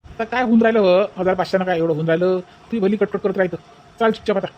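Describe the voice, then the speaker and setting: A man's voice: high-pitched, fast talker, a little mellow, lo-fi sound, lightly acted. A man playing an exasperated, talkative village father in a cartoon, grumbly and matter-of-fact.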